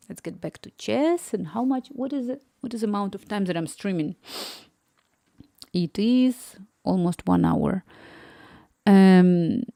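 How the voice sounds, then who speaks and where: A woman's voice: lowish voice, dark tone, no echo, clean studio sound. A woman in her thirties chatting casually on a live stream, rambling and laughing often. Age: 30s.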